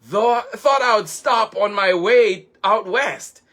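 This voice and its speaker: Brief: kindly storybook uncle, chatty and patient, bright voice, read by a man. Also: high-pitched, slow. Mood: friendly. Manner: chatty and explaining. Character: friendly easygoing uncle, adult man.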